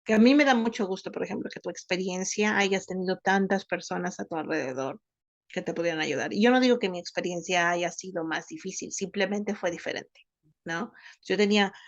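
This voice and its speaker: A woman, co-hosting a podcast and speaking thoughtfully in halting phrases. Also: fairly low pitch, dry recording, dull audio. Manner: thoughtful, halting, many restarts. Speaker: podcast co-host. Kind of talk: casual conversational podcast.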